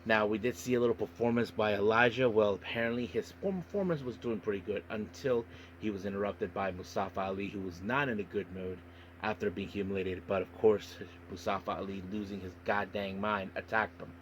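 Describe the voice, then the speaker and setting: A low-voiced man hosts a casual solo podcast, reading through news and reviews. His delivery is halting, often tripping on words and names. Clear background noise runs through the recording. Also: low-quality recording. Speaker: wrestling podcast host.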